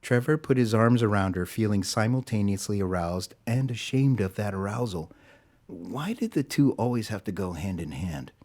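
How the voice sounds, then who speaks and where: A man narrating a scripted story in a dramatic, deliberate storytelling manner. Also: fairly low pitch, lively intonation, a little mellow.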